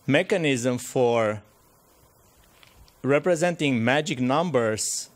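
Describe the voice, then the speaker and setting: Hoarse man asking a challenging question. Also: medium pitch, expressive. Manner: halting, many fillers and restarts, challenging. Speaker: audience member asking a question. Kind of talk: conference Q&A.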